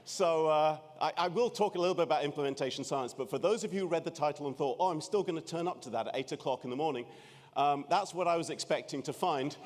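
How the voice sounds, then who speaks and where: A man's voice: on the high side, fast. Scottish man in his fifties, dry self-deprecating humor, keynote in a big echoey room. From Scotland. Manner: wry, self-deprecating, many restarts. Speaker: keynote speaker. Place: large conference room. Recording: reverberant conference hall.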